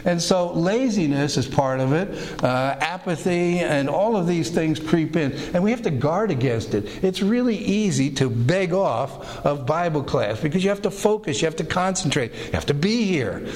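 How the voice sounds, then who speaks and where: An older man with a raspy voice, preaching a folksy, conversational sermon to his congregation, often acting out the voices of people he quotes. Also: a little high, animated, moderate pace, hardly any pauses, darkish tone. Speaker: pastor. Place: church sanctuary. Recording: reverberant hall.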